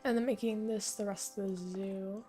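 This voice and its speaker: A young woman streaming a zoo-building game, thinking aloud and correcting herself as she goes, her voice slightly hoarse and fading. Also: medium pitch, steady tone, normal speed, dark tone, soft background music. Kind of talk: casual gameplay commentary.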